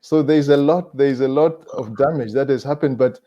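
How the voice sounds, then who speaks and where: Mellow Zimbabwean man in dull lo-fi audio. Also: mid-range voice, moderate pace. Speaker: panelist. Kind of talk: reflective panel discussion.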